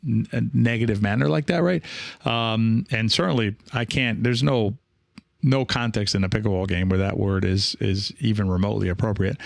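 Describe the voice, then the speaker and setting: A man talking casually as a podcast host and coach, reflective and encouraging, halting now and then and often checking in with the listener. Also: lowish voice, vocal fry, no room sound, studio quality. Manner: reflective, halting, with frequent rhetorical check-ins.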